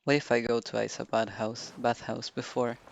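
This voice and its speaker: A woman streaming a game, giving casual, low-key commentary in halting, muttered asides. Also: little pitch movement, gravelly, highs cut off, a little hiss or hum. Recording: faint game music behind the voice.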